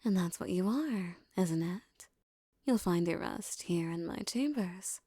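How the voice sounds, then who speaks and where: Woman acting as a regal teasing empress, soft and breathy, really unhurried, condescending and possessive, intimate roleplay audio, close dry sound. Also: on the low side, lively intonation, no echo, exaggerated voice. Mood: amused, soothing. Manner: slow, soft, condescending coaxing, often breathy near-whisper.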